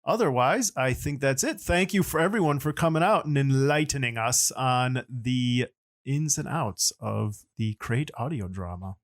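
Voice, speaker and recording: A man's voice: mid-range voice, expressive, normal speed. A man hosting a podcast in a casual, chatty style. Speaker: podcast host.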